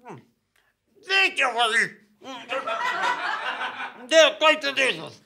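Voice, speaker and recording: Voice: sits high, big pitch swings, takes it slowly, creaky, a crowd in the background, heavily acted. A performer playing a friendly old female witch in a comic audio drama, savouring and mysterious in manner.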